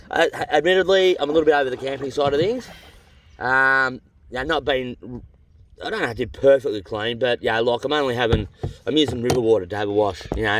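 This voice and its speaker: A tired Australian man in his middle years talks to his camera out in the bush by a river, and he rambles cheerfully from one thought to the next. His voice sits at an ordinary pitch, a little stuffy from a cold, and faint birdsong and outdoor noise sit behind him. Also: a bit bright. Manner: chatty and rambling, with frequent restarts and slang. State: worn out, with a runny nose. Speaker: vlogger on a long canoe trip. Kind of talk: rambling casual vlog chat. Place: outdoors by a river among rocky cliffs.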